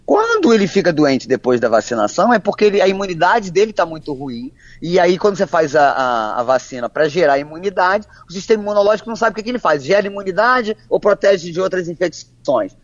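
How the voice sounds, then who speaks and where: A woman, a physician answering interview questions in a measured, plain way with frequent restarts. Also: on the low side, sing-song, no echo. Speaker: physician guest. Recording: dull, lo-fi, dry sound.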